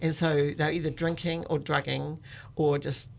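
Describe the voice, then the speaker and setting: A woman's voice: sits low, little pitch movement, normal speed, gravelly, darkish tone, a little hiss or hum. An older woman with a New Zealand accent, telling personal stories in an informal, reflective, conversational way. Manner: informal, reflective, often says "you know". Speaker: storytelling guest, activist.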